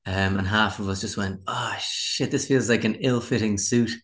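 A man's voice: lowish voice, steady tone, moderate pace, a bit bright. An Irish man in his forties, a musician chatting casually in an interview with a wry, self-deprecating manner. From Ireland. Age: 40s.

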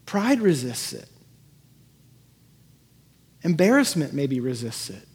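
A man's voice: medium pitch, very animated, slow, a little mellow, slightly rough. A man preaching as a pastor in a conversational sermon, earnest and given to emphatic repetition. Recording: echoey hall with long reverb.

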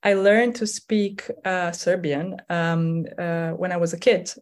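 A woman in her forties whose first language is Albanian, speaking English as a playwright guest in an unhurried, hesitant way, with many fillers and restarts. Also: on the low side, steady tone, darkish tone. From Kosovo. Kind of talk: conversational interview.

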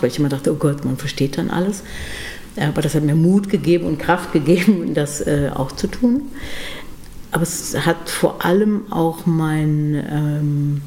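Low-voiced woman musician, thoughtful. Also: warm tone, slight background noise. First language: German. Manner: thoughtful, many hesitations and fillers. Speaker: interview guest, musician. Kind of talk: casual interview conversation.

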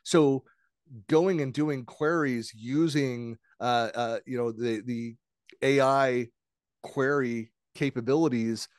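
A man's voice: mid-range voice, fairly even intonation, takes it slowly, clear, resonant tone, dry recording, studio quality. A male podcast host who talks through his questions in a chatty, rambling way with many restarts. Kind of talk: podcast interview, conversational.

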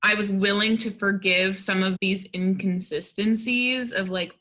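A woman with a mid-range, sharply bright voice offers feedback in a group workshop. She talks conversationally, thinking her points through aloud. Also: mid-range voice, steady tone, a little slow. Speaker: readers theatre workshop participant. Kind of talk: giving script feedback in a group discussion.

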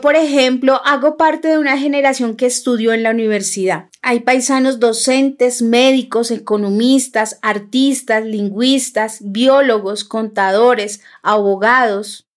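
A woman in her thirties with a Bogotá Colombian accent, a native Huitoto speaker, narrating a scripted personal audio documentary. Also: fairly high pitch. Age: 30s. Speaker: narrator and host.

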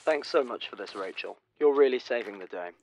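A man's voice: medium pitch, expressive, fairly quick, a little mellow, slight background noise, lightly acted, rough recording. A man playing a polite, reassuring session director in a radio drama. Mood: reassuring, brisk.

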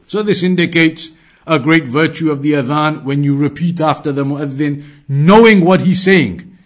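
A man giving an Islamic religious lesson in an emphatic, didactic manner, repeating key phrases as he explains scripture. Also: a little high. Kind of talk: religious lecture, quoting and translating scripture.

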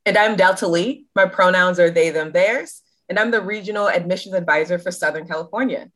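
A woman's voice: fairly low pitch, a little fast, a bit bright. A woman co-hosting a university admissions podcast, enthusiastic and bubbly. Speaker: podcast co-host, admissions advisor. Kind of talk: casual podcast chat.

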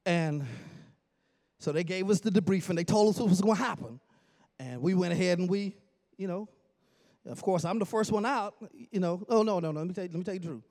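Animated high-voiced preacher from the Bahamas. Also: male voice, fairly quick. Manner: animated, jokes with congregation, bursts into shouts. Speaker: pastor. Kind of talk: storytelling sermon. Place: church sanctuary.

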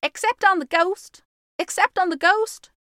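Woman doing an excitable shrieking little boy. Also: a little slow, dry recording, clean studio sound, full character voice. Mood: gleeful excitement. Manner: shrieking, high and loud. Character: excitable, mischievous young twin boys.

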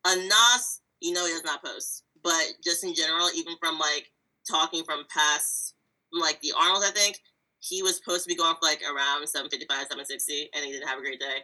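A woman's voice: low voice, fairly even intonation, a little fast, pushed and bright, poor audio. A woman from Virginia co-hosting a casual sports podcast, running through predictions in a chatty way with lots of "like" fillers. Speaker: podcast co-host.

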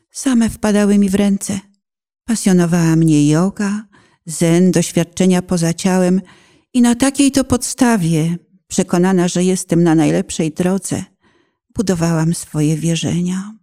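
A woman's voice: on the low side, warm tone. A middle-aged woman delivering a scripted, dramatic narration of a personal testimony in a solemn, literary, theatrical manner. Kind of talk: scripted dramatic narration in a poetic radio piece.

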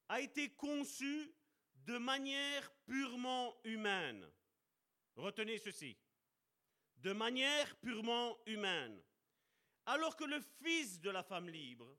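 A man preaching a sermon with passion, slowing down to stress key words and calling out to his brothers and sisters. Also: high-pitched, sharp tone. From Italian heritage. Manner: impassioned, addresses congregation as brother and sister.

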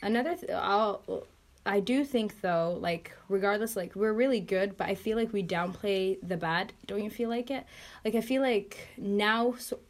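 An earnest woman guest works through her views out loud on a casual podcast chat. Her talk is full of fillers and restarts as she feels her way to the point. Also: medium pitch, moderate pace. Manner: earnest, thinking aloud with many fillers.

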